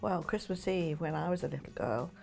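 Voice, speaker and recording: A woman's voice: deep voice, lively intonation, fairly quick, creaky, darkish tone, faint music behind. An older woman from Nottingham chatting in an encouraging, friendly way. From Nottingham, England.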